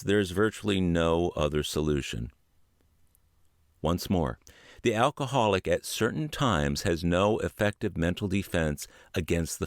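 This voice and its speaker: Low-voiced man narrating an audiobook. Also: no echo, clean studio sound. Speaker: audiobook narrator and podcast host.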